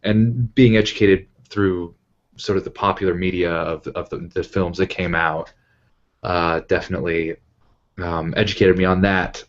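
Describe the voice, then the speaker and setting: A man's voice: low voice, steady tone, normal speed, lo-fi sound. A man talking casually about his fandom as a guest on a panel. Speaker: cast or crew member on a panel Q and A. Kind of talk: casual fan chat.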